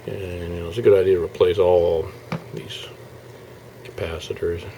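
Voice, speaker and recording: Deep, gravelly man talking casually. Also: little pitch movement, warm tone, slight background noise, low-quality recording. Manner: halting, thinking aloud while showing parts. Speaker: hobbyist radio restorer presenting his project. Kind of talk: casual informal video walkthrough.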